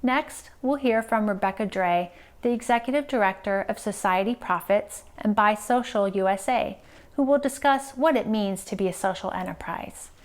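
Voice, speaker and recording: A woman's voice: medium pitch, moderate pace. A woman reading a scripted introduction as an event announcer.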